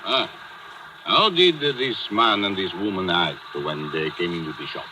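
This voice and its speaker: An actor playing a stern, methodical Cuban police inspector, formal and clipped, with a Cuban Spanish accent in an old radio drama. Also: male voice, sits low, normal speed, natural voice. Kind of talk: 1940s radio drama acting. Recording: noisy old radio broadcast.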